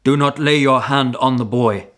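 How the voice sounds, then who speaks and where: An actor playing a weary captive young knight in a historical radio drama, halting, guarded and terse. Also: male voice, medium pitch, fairly bright tone, natural voice. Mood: resigned, cautious.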